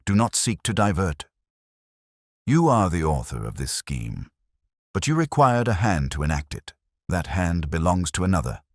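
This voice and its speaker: Audiobook detective, man performing: commanding and unshakable, bottomless husky register, steady formal accusations. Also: sits low, no echo, studio quality, heavily acted. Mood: cold, stern. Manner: steady, cold, formal, deliberate.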